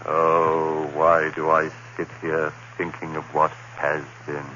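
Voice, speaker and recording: A man playing a terrified condemned prisoner recounting his nightmare in halting, anguished phrases, in the style of 1940s radio horror. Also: rumbling low, completely flat delivery, dull audio. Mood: brooding fear. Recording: noisy, band-limited old radio recording.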